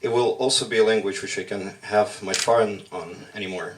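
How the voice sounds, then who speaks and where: A man whose first language is Russian performs a spoken-word poem in measured, halting short phrases at a slam poetry workshop. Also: lowish voice, steady tone, recorded from a distance, background noise.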